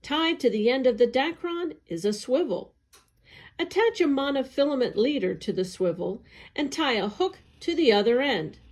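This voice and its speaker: A woman reading an educational newsletter aloud in careful, clearly separated phrases. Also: high voice, expressive, moderate pace, a little mellow. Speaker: agency staff reader.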